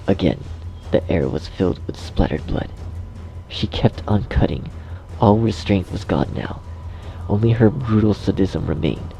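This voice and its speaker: A man's voice: deep voice, creaky, low-quality recording. A man reading scripted horror story narration as the narrator. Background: music.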